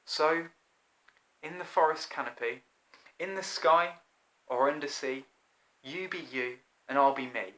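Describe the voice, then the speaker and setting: A man in his twenties reading a rhyming children's story in an expressive, deliberate, theatrical way. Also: on the high side, low-quality recording. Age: 20s. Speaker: author reading own children's book. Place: small plain room indoors.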